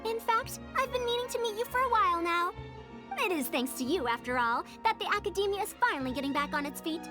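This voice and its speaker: Proud, lively, high-voiced lady scholar. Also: fast talker, music in the background. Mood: pleased, curious. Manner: haughty, formal, old-fashioned phrasing. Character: proud, fussy scholarly woman with old-fashioned elder airs. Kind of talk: video game character voice acting.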